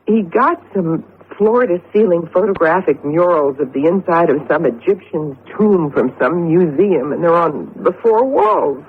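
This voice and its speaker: An expressive, chatty wife in a radio play. Her delivery is emotive and very lively in pitch, with a fretful edge. The recording is thin and lo-fi, with slight noise. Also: measured pace, few pauses. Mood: worried. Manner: emotive, emphatic. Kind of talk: radio drama acting.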